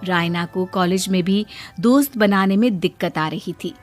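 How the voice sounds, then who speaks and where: A woman narrating a scripted story in an expressive storytelling manner. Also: mid-range voice, normal speed, faint music behind.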